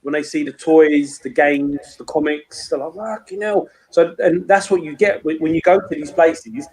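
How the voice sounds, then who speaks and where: A man's voice: on the high side, moderate pace, a little mellow, low-quality recording. A man, hosting a rambling, casual video-call chat and swearing freely. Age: 30s. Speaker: host.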